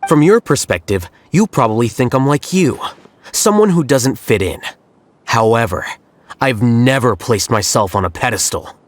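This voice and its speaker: A man voicing a cool, aloof young scholar who speaks in a calm, detached and analytical way. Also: mid-range voice, normal speed, dry recording, close to an everyday voice. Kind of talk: game voice acting.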